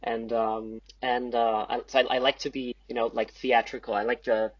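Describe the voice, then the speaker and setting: A young man chatting casually as a podcast guest, halting and self-deprecating in the way he talks. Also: mid-range voice, flat delivery, slightly rough, dry recording, muffled recording. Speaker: podcast guest, amateur theater group member.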